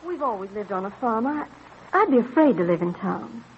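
Skeptical colonial wife, muffled vintage radio. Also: female voice, a little high, big pitch swings, fairly quick. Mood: doubtful. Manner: exasperated, incredulous questioning. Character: practical, skeptical but devoted colonial farm wife. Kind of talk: old-time radio drama acting. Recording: dull, faintly noisy vintage radio recording.

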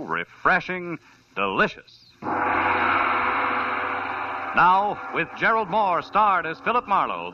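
Bright, lively vintage radio announcer man. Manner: bright, enthusiastic sales pitch. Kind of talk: 1940s radio commercial announcing. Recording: lo-fi old radio with faint hiss.

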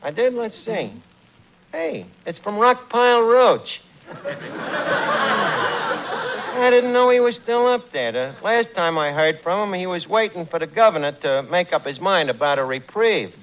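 A wisecracking tavern manager in a radio comedy, slangy and boastful. The voice is high for a man and very animated, on a dull, slightly noisy old recording. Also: normal speed. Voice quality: colloquial, slangy delivery. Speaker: bar manager in a radio sitcom.

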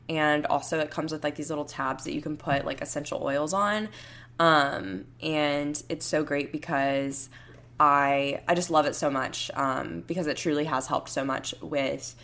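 Hoarse low-voiced woman rambling casually. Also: fairly bright tone. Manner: rambling, heavy use of "like" and "um". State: hoarse, raspy voice. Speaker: podcast host. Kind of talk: casual solo podcast chat. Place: home.

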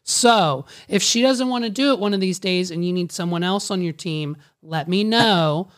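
Raspy-voiced man telling a story. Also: high-pitched, normal speed. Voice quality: raspy, often heard as a woman on the phone. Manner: animated storyteller, jokey and confiding. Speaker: business owner. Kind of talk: casual conversation.

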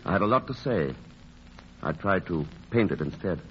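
A brooding, good-hearted young painter in a vintage radio drama. His voice is low and husky and moves around a lot. The old recording sounds muffled. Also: animated, normal speed, slight background noise. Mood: subdued.

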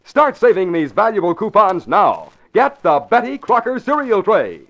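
A man with an American accent reading scripted announcements and sponsor pitches in 1940s radio style. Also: very animated, normal speed, creaky, fairly bright tone. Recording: dull old 1940s radio recording.

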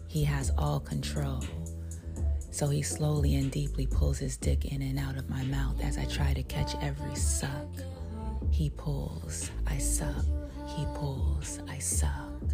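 Woman host, very low and gravelly, slangy and intimate; music playing clearly underneath. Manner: slangy, laid-back, intimate delivery. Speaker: podcast host. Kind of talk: erotic storytelling and casual interview. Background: background music.

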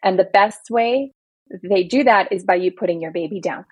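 Woman attorney guest, earnest explainer, breathy-husky tone, dry studio sound. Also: medium pitch, normal speed, a little mellow, no echo. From Belgium. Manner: earnest, explanatory, speaks in long building lists. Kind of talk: casual podcast interview.

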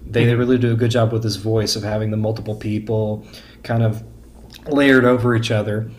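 A man's voice: fairly low pitch, slight background noise. A man in a casual podcast discussion, stammering and repeating words as he searches for his phrasing. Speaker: podcast guest or panelist discussing a book.

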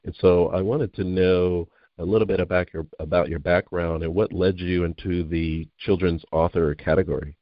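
A man's voice: deep voice, steady tone, moderate pace, few pauses, no room sound. A man hosting an interview, chatting in an enthusiastic, rambling way. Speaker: host. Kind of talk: casual interview talk. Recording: dull lo-fi audio.

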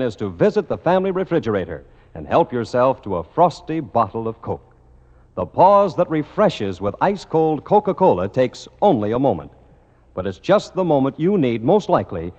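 A man's voice: medium pitch, a little mellow. A man reading scripted announcements as a host in 1950s radio style. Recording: muffled vintage radio recording, long reverb.

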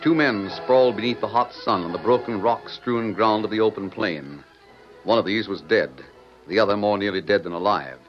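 A man's voice: fairly low pitch, normal speed. Casting: male announcer for dramatic vintage radio narration, muffled broadcast sound with faint music. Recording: dull vintage radio recording.

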